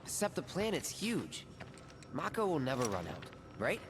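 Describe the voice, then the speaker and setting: An actor playing an eager, naive young soldier in a video game, casual, curious and openly expressive. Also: male voice, on the high side, normal speed, noisy background. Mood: challenging. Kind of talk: dramatic game cutscene acting. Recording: loud music behind the voice. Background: loud music.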